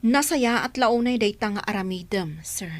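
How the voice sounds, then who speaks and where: A woman's voice: mid-range voice, lively intonation, moderate pace, lightly acted. A woman acting a respectful, cautious assistant advising her boss in an Ilocano radio drama. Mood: encouraging.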